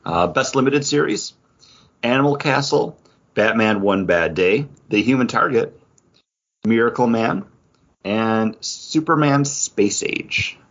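A man's voice: mid-range voice, lively intonation, takes it slowly, a bit bright. A man hosting a podcast, chatting casually and informally in an off-the-cuff way. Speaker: podcast host. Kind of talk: casual chat, reading out award nominees. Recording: dull, lo-fi podcast audio.

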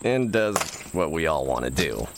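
An actor playing a wry, casual narrator, telling a scripted sci-fi comedy story. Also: male voice, a bass voice, very animated, normal speed, noisy background, exaggerated voice.

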